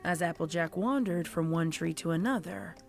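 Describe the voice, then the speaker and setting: A woman's voice: on the low side, normal speed, creaky, a little mellow, faint music behind. A woman reading scripted fiction as a storybook narrator. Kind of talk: scripted audiobook-style horror fan fiction narration.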